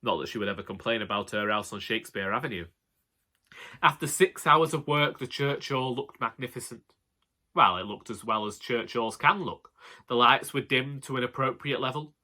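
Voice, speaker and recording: Northern english bloke reading a funny audiobook, wry and chatty, bright crisp-edged voice. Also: male voice, medium pitch, normal speed. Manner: wry, colloquial. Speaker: audiobook narrator. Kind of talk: comic first-person storytelling.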